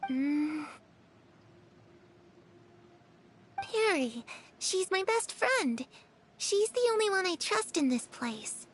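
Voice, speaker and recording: A woman voice acting an eerie, sorrowful little girl in a video game, speaking in a hushed, ominous way. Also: very high-pitched, sing-song, a little hiss or hum, full character voice. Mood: sad, trusting. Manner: slow, hushed, ominous delivery.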